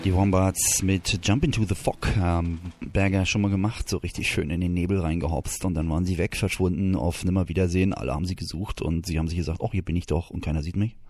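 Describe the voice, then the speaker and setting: Brief: male radio host, very low voice, chatty and teasing, dry studio sound. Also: steady tone, fast talker, few pauses, darkish tone, dry recording. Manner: chatty, teasing, reads out items with asides. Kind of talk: casual radio banter, reading news items.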